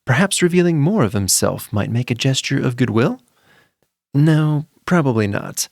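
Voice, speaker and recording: A man's voice: mid-range voice, lively intonation, normal speed, vocal fry, no room sound, clean studio sound. A man narrating a spooky children's story from a script in a dramatic, suspenseful way.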